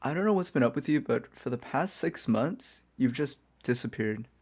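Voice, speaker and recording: A man playing a smug but chatty reformed supervillain in a soft-spoken, casual ASMR roleplay. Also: mid-range voice, lively intonation, moderate pace, husky. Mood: puzzled, coaxing. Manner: casual, wry, conversational. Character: smug male supervillain turning reformed, playfully chatty kidnapper. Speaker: audio roleplay performer.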